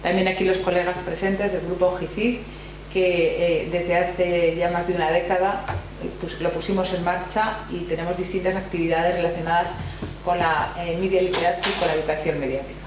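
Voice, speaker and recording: A woman's voice: on the low side, little pitch movement, a little fast. Seminar host: woman, accent from Spain, formal read-out introduction with some hesitation, recorded in a reverberant, humming room. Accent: Spanish from Spain. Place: university library room. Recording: very echoey room, faint hum and noise.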